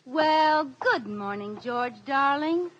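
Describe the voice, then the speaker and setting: A giggly, scatterbrained young wife in a golden-age radio sitcom with a fairly high, sing-song voice. She coaxes and chatters playfully. The old broadcast hisses with loud noise. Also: lively intonation, takes it slowly, highs cut off. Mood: bubbly. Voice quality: bubbly, giggly, coaxing. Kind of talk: 1940s radio comedy acting.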